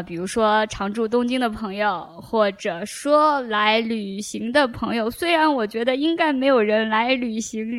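Casual female podcast co-host, chatty. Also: fairly high pitch.

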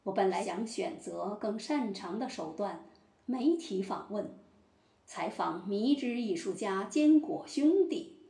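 A woman playing a solemn judge in a mock-trial audio drama, speaking formally and deliberately. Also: mid-range voice, animated, vocal fry, warm tone. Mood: formal, grave. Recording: roomy echo.

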